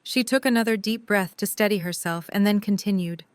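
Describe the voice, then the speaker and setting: A woman's voice: mid-range voice, brisk, no echo. A woman reading scripted third-person story narration for an audio drama.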